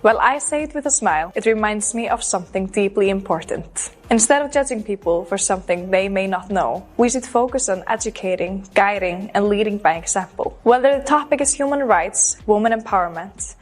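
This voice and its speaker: A woman, giving a scripted self-introduction as a pageant contestant in an earnest, measured way. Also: mid-range voice, animated. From Iceland. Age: 20s. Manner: earnest, measured recited delivery.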